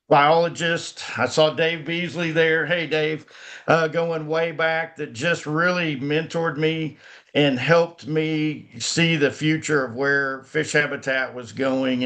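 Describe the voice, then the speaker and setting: A man's voice: mid-range voice, fairly bright tone. A man from Northwest Arkansas, a company founder giving a folksy conference talk over a video call, full of fillers and restarts.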